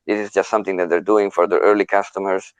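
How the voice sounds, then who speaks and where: Male discussion host, low register, dry close sound, conversational and emphatic, unscripted. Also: level tone, fast talker, clean tone, no echo. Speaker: host moderating an online audio discussion. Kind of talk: casual unscripted group discussion. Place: live online audio chat room.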